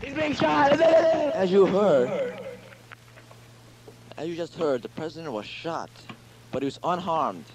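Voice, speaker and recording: A man's voice: fairly high pitch, animated, measured pace, pauses often, a little mellow, a little hiss or hum, low-quality recording. A young man improvising mock news reporting, often repeating himself. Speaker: skit announcer and reporter.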